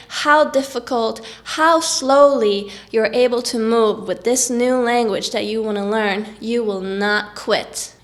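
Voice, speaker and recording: Lady vlogging w a cold, upbeat and encouraging, kinda high voice. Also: fairly bright tone. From Norway. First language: Norwegian. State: has a cold. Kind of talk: casual talk to camera.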